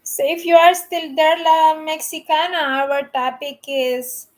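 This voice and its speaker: High-voiced Filipina live stream host. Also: female voice, steady tone, unhurried. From Philippines. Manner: chatty, greets viewers by name, patient with her child. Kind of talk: casual live stream chat.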